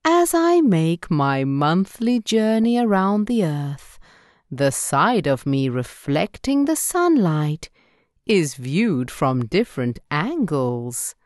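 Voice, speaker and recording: A woman voicing the Moon in a children's bedtime story, explaining itself gently in a measured, instructive way. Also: low voice, very animated, no echo, studio quality, exaggerated voice. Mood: patient, explaining.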